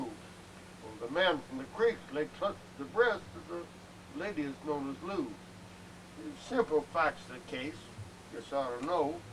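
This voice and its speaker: High-pitched man reciting a frontier ballad. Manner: theatrical, with emphatic swells and hushed drops. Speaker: amateur poetry reciter. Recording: noisy amateur VHS home video.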